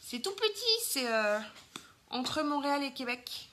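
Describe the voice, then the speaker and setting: Brief: French woman, 30s, bright slightly high voice, casual chatty live-stream host full of fillers. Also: very animated, a little slow. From France. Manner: chatty, lots of fillers, greets viewers while doing makeup.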